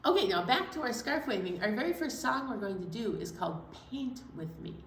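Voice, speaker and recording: A woman leading a children's story time, speaking to young children in an animated, encouraging way and asking them questions. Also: a little high, fairly quick. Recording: roomy echo, distant mic, faint noise.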